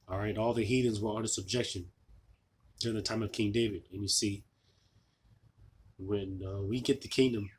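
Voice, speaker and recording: A man losing his voice, giving an informal scripture sermon, halting with many fillers and restarts. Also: lowish voice, a little hiss or hum. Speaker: preacher.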